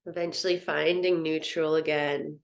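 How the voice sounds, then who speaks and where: Gentle low-voiced woman guiding meditation. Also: level tone, creaky. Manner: soothing, gentle, unhurried instruction. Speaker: meditation teacher. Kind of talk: guided yoga nidra meditation.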